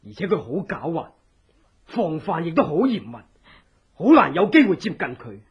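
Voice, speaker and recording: An actor playing an earnest, secretive revolutionary, speaking with urgent, theatrical persuasion in a radio drama. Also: male voice, fairly high pitch, sing-song, normal speed, slightly rough, full character voice. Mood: indignant. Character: earnest, secretive revolutionary, escaped political prisoner. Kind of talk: Cantonese radio drama acting. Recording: dull, lo-fi old radio drama.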